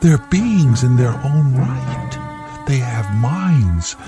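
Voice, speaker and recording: A man's voice: mid-range voice, sing-song, very slow, over music. An actor playing a proud, controlling, reclusive inventor father in a radio drama, grave, oratorical and insistent. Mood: impassioned.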